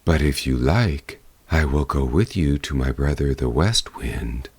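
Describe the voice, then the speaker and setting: A man voicing an obliging, modest male wind spirit in a fairy tale, speaking in a measured way. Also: a bass voice, expressive, gravelly, slightly rough, voice pushed down, heavily acted.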